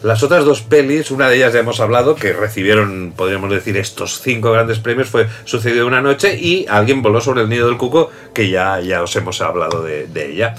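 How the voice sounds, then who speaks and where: A man's voice: on the low side, expressive, fairly quick, few pauses, fairly bright tone, slight background noise. A man hosting a casual film chat, talking loosely, laughing often and restarting sentences. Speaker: host. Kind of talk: casual chat about films.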